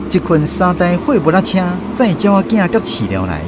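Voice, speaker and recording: Lively Taiwanese male storyteller. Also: high voice, normal speed, background noise. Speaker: narrator of a radio drama. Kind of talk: scripted storytelling narration.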